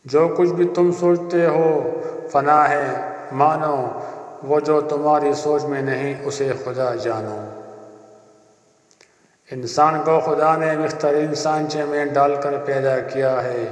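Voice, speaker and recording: A man giving a scripted narration of inspirational spiritual quotes in a solemn, deliberate way with measured pauses. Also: fairly high pitch, fairly even intonation. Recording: echoey, long reverb.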